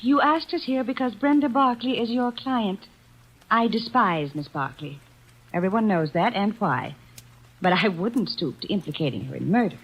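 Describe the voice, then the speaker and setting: An actress playing a cold, haughty widow in an old radio drama, crisp and disdainful. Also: female voice, medium pitch, moderate pace, muffled recording, lightly acted. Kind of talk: 1940s radio acting.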